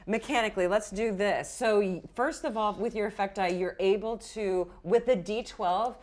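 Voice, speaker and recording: A woman acting as game master, narrating a tabletop game casually with animated, exclamatory reactions. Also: mid-range voice, moderate pace.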